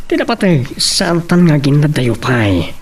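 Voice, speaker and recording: A woman's voice: low-pitched, sing-song, unhurried, distant mic, slight background noise. A woman playing an insistent young male sweetheart in a radio drama, speaking with an Ilocano accent. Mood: coaxing.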